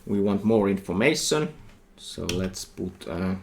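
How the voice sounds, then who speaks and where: A middle-aged man with a Finnish accent, a university lecturer talking through a coding tutorial while he types, thinking aloud in halting phrases. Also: low voice, lively intonation. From Finland. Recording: faint noise with keyboard typing.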